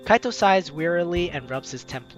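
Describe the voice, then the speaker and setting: A man's voice: on the high side, animated, normal speed, highs cut off, soft background music. A man hosting a game playthrough, reading narration and dialogue aloud expressively, with casual asides. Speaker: host of a video game playthrough.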